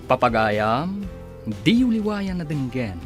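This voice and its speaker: A man's voice: a little high, big pitch swings, a little slow. A man with an Ilocano accent giving dramatic scripted narration as a radio drama announcer. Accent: Filipino, Ilocano. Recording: loud music behind narration.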